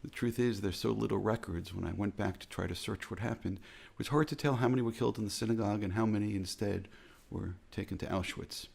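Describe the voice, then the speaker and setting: Gravelly earnest American man. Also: on the low side, fairly even intonation, a little mellow. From United States. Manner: earnest, measured, repeats phrases for emphasis. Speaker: rabbi. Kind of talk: reflective talk.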